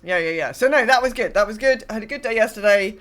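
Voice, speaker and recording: A British woman co-hosts a podcast. Her voice sits at a medium pitch, and she speaks informally, as in everyday conversation. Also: animated, fast talker, a bit bright. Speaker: podcast co-host. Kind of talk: casual chat.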